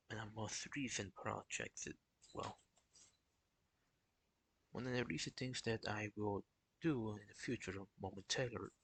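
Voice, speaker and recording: A young man talking casually on a live stream, in a flat, halting way with many restarts. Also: on the low side, vocal fry, no echo. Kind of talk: casual solo stream talk.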